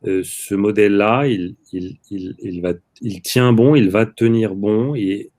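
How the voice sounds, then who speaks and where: A man's voice: fairly low pitch, measured pace, darkish tone, dry recording. A man interviewing a writer, asking long questions with many hesitations. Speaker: interviewer, journalist.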